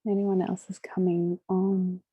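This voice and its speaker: A woman leading a guided meditation, speaking gently and unhurriedly with long pauses between short phrases. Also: fairly low pitch, level tone, husky, dry recording. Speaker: meditation guide hosting a live session.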